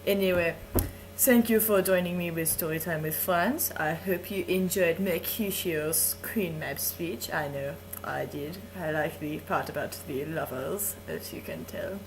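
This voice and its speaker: A woman voicing a vain, flirtatious adult Frenchman who reads verse aloud in a slow, suggestive way. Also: sits low, poor audio. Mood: sultry. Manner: slow, lingering, suggestive delivery with chuckles. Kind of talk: playful dramatic reading of verse.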